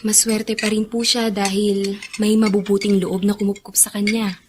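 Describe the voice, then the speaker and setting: A woman's voice: mid-range voice, little pitch movement, normal speed, noisy background, lightly acted. An actress playing a polite, earnest seventeen-year-old Filipina girl in a melodramatic radio drama. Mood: curious, sympathetic. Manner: respectful, soft-hearted questioning.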